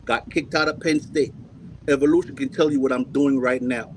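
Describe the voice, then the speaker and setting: A man in his forties from Jamaica, hosting a heated panel debate and cutting in forcefully to hold the floor. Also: medium pitch, fairly even intonation, moderate pace, slight background noise. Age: 40s. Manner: forceful, keeps cutting in with "hold on" and repeats himself. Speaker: host.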